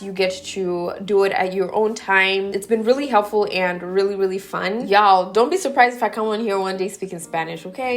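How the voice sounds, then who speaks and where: Young Kenyan-accented woman, beauty vlogger, relaxed chatty delivery while doing her makeup. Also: medium pitch. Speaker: beauty YouTuber. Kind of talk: get-ready-with-me Q&A chat.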